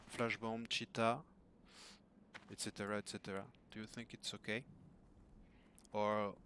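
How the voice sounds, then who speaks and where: A hoarse middle-aged man speaking as an at a home desk mic, his voice a touch low. He talks slowly and haltingly, stopping and restarting with lots of uhs. A faint hiss runs under the recording. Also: bright, forceful tone. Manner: hesitant, many fillers and restarts. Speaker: gamer asking for coaching. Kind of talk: casual recorded message to a coach. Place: home room.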